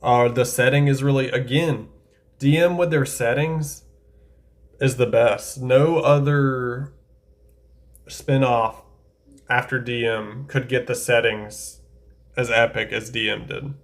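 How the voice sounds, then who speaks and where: A man's voice: mid-range voice, steady tone, slow, pauses often. A man giving casual, enthusiastic tier-list commentary, talking things through aloud and correcting himself as he goes. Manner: chatty, enthusiastic, thinks aloud with self-corrections. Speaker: anime tier-list creator.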